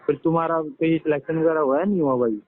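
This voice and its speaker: A man's voice: a little high, fairly even intonation, fast, no room sound. A young man calling for health advice, chatting casually about his troubles. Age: young adult. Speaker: caller seeking health advice. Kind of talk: casual phone chat. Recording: tinny, band-limited phone call recording.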